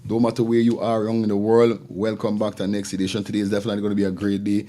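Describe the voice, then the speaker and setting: A man's voice: fairly low pitch, completely flat delivery, very fast, mellow. A man with a Caribbean accent hosting a casual live stream in informal, slangy, repetitive patter. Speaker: live show host. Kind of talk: casual live-stream talk with shout-outs.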